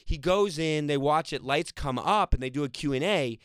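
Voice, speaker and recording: Rambling animated man, dry mic. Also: fairly high pitch, normal speed, smooth, no echo. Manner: rambling, animated storytelling with laughs. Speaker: actor and director. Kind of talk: casual interview talk.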